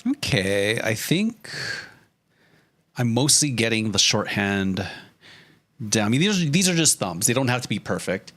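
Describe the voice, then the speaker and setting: A man's voice: on the low side, sing-song, measured pace, long pauses, a bit bright. A man chatting casually on a livestream as he works, with a joking, self-deprecating manner and loose, sweary phrasing. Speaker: streamer, storyboard artist. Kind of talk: casual livestream chat while drawing.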